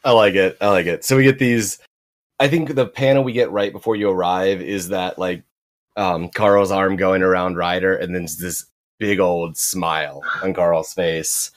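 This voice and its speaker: A man's voice: deep voice, normal speed. A man acting as game master, casually describing comic panels to his players with easy enthusiasm. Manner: relaxed, enthusiastic, lots of fillers.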